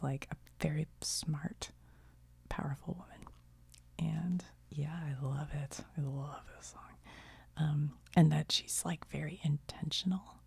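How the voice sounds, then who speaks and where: A middle-aged woman whispers close and gently, in the soft chatty way of an ASMR video, letting her thoughts trail off. Her whisper sits low and is thick with grit and fry. Much of it is pure breath. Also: no room sound. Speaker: video creator.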